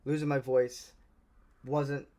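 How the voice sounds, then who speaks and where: A man's voice: medium pitch, little pitch movement, slow, warm tone. A man with a Southern American accent, giving a casual, rambling movie review as a channel host, often restarting his sentences. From Southern United States. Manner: chatty, rambling, many restarts.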